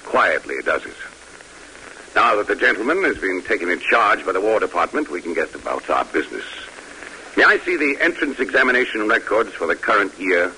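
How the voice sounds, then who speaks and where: A man's voice: on the low side, very animated, brisk, pushed and bright, exaggerated voice, rough recording. An actor playing a stern, dignified army major in old-time radio drama, formal and commanding in his oratory. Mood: controlled, firm. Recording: hissy vintage radio recording.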